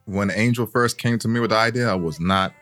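Young male podcast co-host, low voice, loose and jokey, light music under him. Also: fairly quick, fairly bright tone. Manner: loose, joking, swears casually. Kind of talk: casual chat.

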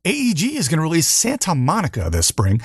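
A man's voice: a little high, huge pitch swings, quick pace, husky, no echo. A man hosting a podcast, giving a casual news rundown on board games in an informal, loosely phrased way. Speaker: podcast host.

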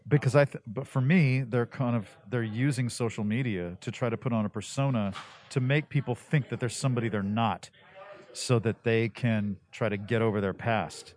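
A middle-aged man with a deep, bass-baritone voice talks casually as an interview guest. He is animated, often jumping higher in pitch when he stresses a point, then settling back into his low register. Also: normal speed. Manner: animated, jumps up in pitch for emphasis. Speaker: voice actor guest.

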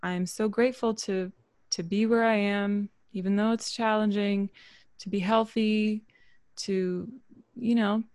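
A woman's voice: medium pitch, fairly even intonation, measured pace, a little mellow, no echo. Reflective woman musician on a call. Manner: reflective, many fillers and laughs. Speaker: musician guest. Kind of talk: relaxed interview chat. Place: remote video call.

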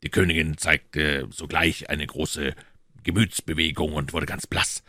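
An assured, deferential gentleman informant in an audiobook, voiced by a male narrator. His voice is very low. He reports in a measured, precise way, with a close, dry sound. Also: little pitch movement, no room sound, full character voice. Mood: measured, reporting. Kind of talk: audiobook character dialogue.

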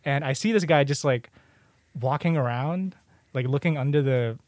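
A man's voice: mid-range voice, normal speed, darkish tone, no room sound, studio quality. A man hosting a podcast, telling stories in an informal, casual way and swearing freely. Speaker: podcast host. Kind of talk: casual banter and storytelling. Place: small room. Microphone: close podcast microphone.

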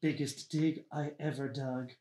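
Man as a deadpan archaeologist, echoing room. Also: on the high side, measured pace, close to an everyday voice. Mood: matter-of-fact. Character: matter-of-fact archaeologist, deadpan about absurd finds. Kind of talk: sketch comedy table read.

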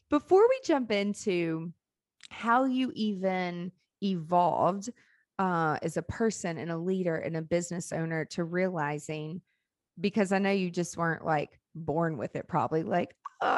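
Woman, podcast host, upbeat, folksy, mellow tone, dry close sound. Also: lowish voice, vocal fry, no room sound. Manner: upbeat, folksy, says "y'all". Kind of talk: casual interview chat.

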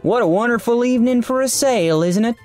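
A man's voice: very high-pitched, fast talker, a little mellow, faint music behind, pitched above the performer's own voice. A man voicing a sweetly smug Southern saleswoman in a light, feminine accent, in a tabletop game story. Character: gushing Southern lady oil seller, sweetly smug, role-played.

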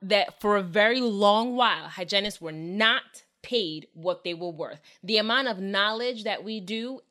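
Bright-voiced laughing woman. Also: mid-range voice, measured pace. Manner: laughs often, emphatic. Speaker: dental hygienist and educator, co-host. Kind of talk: casual podcast chat.

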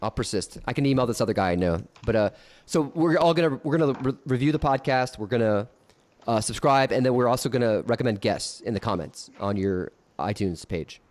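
A man's voice: mid-range voice, expressive, brisk, a little mellow. A man in his thirties hosting a podcast, teasing his guest and telling stories in a joking way. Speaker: podcast host. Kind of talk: casual podcast chat.

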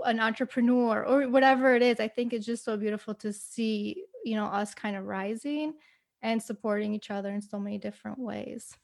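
Chatty young woman with gravelly edge. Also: medium pitch. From United States, family from Kosovo. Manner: chatty, many fillers like "you know" and "like". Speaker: podcast host. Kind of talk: casual interview chat.

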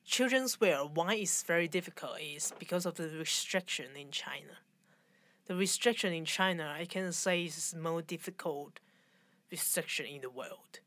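A woman in her forties from Hong Kong, speaking with a Hong Kong accent and halting, searching English as an interview guest. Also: on the low side, a bit bright, no room sound. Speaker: fashion designer, guest. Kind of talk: conversational interview.

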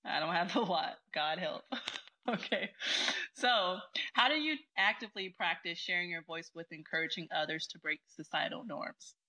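Bright, self-deprecating woman podcast host. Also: lowish voice, moderate pace. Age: 30s. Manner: light, self-deprecating, laughs easily. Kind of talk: casual interview conversation. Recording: faint background noise.

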